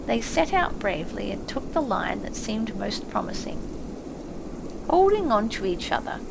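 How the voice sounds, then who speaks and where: High, creaky-voiced woman storyteller. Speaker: audiobook narrator. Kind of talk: expressive scripted story narration. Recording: clearly noisy, ambient effects behind. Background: ambient sound effects.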